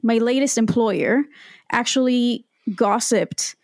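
A woman's voice: on the high side, slow, dry recording. A woman, a podcast guest telling her story in a reflective, candid way. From Israel. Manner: reflective and candid, with frequent ums and restarts. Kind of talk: conversational storytelling.

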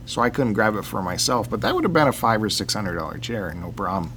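A man's voice: fairly low pitch, sing-song, quick pace, a bit bright, slight background noise. A man co-hosting a casual podcast, teasing his friend, laughing easily and pressing him with questions. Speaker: podcast co-host. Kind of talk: casual banter.

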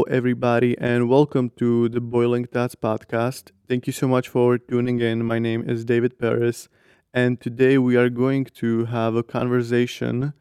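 Young Slovak man, flat and slightly hoarse. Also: medium pitch, dry recording, clean studio sound. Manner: earnest, candid, uses many fillers like "like". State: slightly hoarse from long talking. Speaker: podcast host. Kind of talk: casual solo podcast talk.

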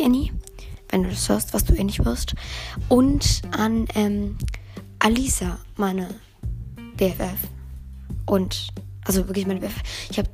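Teen girl host with a hoarse, strained voice, chatty and meandering, faint music in the background. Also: medium pitch. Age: teens. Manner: rambling, chatty, self-correcting. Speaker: podcast host. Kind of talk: casual solo podcast chat.

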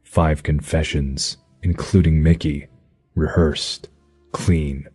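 A man voicing a brooding masked vigilante, narrating in a terse, gruff, hard-boiled manner. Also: extremely low pitch, level tone, slow pace, a little mellow, full character voice. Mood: grim. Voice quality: husky, gruff. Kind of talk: audio drama narration. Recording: faint music behind.

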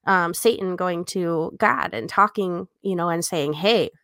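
A woman's voice: fairly low pitch, a little slow, a bit bright, no echo, clean studio sound. A woman co-hosting a casual book discussion, explaining her points in a conversational way. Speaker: podcast co-host and editor.

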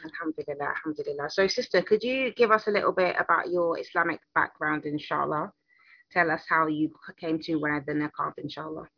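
A woman's voice: sits low, a little fast, creaky, dry recording, lo-fi sound. A woman hosting a podcast, introducing the show and interviewing a guest in a conversational way.

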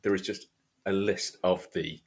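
A man's voice: low-pitched, fairly even intonation, moderate pace, a little hiss or hum. A man hosting a podcast in a casual, chatty style. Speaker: podcast host. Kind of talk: casual chat.

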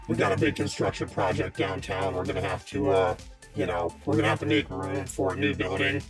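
Man as a deep, cool underworld weapons buyer. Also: flat delivery, over loud music, natural voice. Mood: businesslike, cordial. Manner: measured, businesslike, smooth negotiator. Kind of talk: improvised tabletop role-play.

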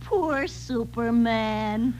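High-pitched mocking woman, old radio drama. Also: extremely slow, a little mellow, soft background music. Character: mocking, scornful woman in a science fiction drama. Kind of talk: 1950s radio acting. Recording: noisy old radio recording, distant.

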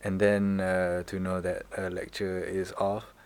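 Young Malaysian man, very low voice, laid-back rambling podcast co-host. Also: monotone, unhurried, smooth. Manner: joking, rambling with fillers. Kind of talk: casual chat mixing English and Malay.

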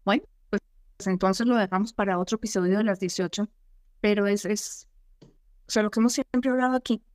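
A woman's voice: medium pitch, steady tone, fairly quick, no echo, studio quality. A middle-aged woman, an immigration consultant co-hosting a talk show, explaining her points emphatically and often asking rhetorical questions. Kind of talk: advisory talk show chat.

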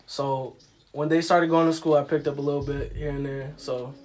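A man's voice: on the high side, little pitch movement, normal speed, a little mellow. A young man from Massachusetts whose first language is Ukrainian, a guest talking casually on a podcast with many fillers. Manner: laid-back, filler-heavy, laughs often. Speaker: guest rapper.

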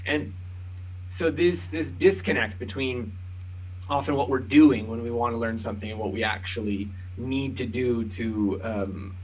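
Man, podcast guest, author, explanatory, thoughtful, mid-pitched voice. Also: unhurried, husky, rough recording. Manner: thoughtful, explains ideas with examples. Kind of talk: explanatory interview talk.

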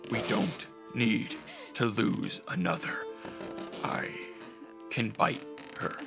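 Radio drama vampire, male actor: solemn, hushed, very slow delivery, creaky voice. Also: on the low side, over music.

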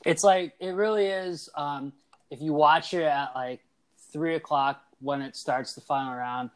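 A man in his twenties hosting a casual sports podcast, energetic and teasing, with quick asides. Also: fairly high pitch. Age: 20s. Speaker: podcast host.

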